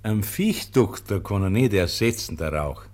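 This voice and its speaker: Slow, low-voiced pious Bavarian brother. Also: male voice. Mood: patient, devout. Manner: calm, simple, gently admonishing. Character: pious, unshakably calm humble monastery porter brother. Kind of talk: German radio play acting.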